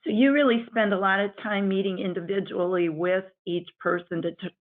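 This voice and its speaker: A woman's voice: mid-range voice, steady tone, fairly quick. Woman interviewer on a tinny line. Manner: leading, summarizing questions. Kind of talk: interview questions.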